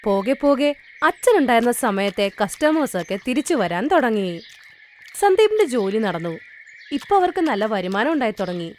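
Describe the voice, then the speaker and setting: A woman's voice: fairly high pitch, big pitch swings, moderate pace, darkish tone, a little hiss or hum. A woman narrating a scripted children's story as a storyteller.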